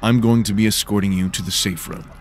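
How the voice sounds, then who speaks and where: A man's voice: fairly low pitch, fairly even intonation, fast, a little hiss or hum, lightly acted. A man voicing a cool, wry, world-weary detective in a fantasy audio drama, speaking tersely. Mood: dryly wry.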